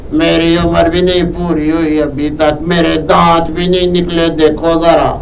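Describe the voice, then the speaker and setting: A young, vain female cow puppet, fretful and full of whining protest. The voice drags along slowly with a gravelly creak, picked up a little far from the mic with faint noise behind. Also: fairly high pitch. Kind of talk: comic children's puppet show. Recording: distant miking with faint noise.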